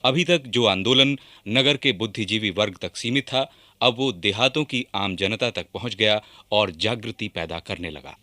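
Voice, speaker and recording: An actor playing an English writer giving an emphatic, declarative assessment in a formal radio documentary drama. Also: male voice, lowish voice, normal speed, sharp tone, no room sound. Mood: emphatic, assured. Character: English writer soberly assessing India's freedom movement.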